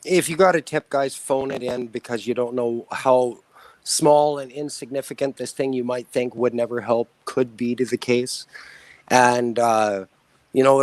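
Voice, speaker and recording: A man from Canada speaks as a guest in an interview. His manner is blunt and outspoken, and his voice sits at a middle pitch. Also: darkish tone, no echo, poor audio. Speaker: guest, son of a murder victim. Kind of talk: casual interview talk.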